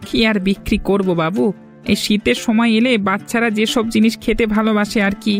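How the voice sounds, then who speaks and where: A man's voice: sits high, steady tone, fairly quick, faint music behind. Someone as a humble male village street vendor. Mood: humble, chatty. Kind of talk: cartoon fairy tale voice acting.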